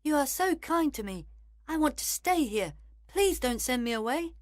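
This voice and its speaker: A small orphan boy pleads in a thin, very high voice, as a man puts him on. The sound is dry and close, like a storybook recording. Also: very animated, moderate pace, no echo. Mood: pleading. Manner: earnest, pleading.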